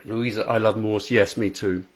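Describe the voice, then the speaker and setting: A man with a soothing, fairly low voice chats casually to viewers on a live stream. He answers their comments with a self-deprecating warmth of manner, speaking into a good microphone in a small, untreated room at home. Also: steady tone, normal speed. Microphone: high quality microphone.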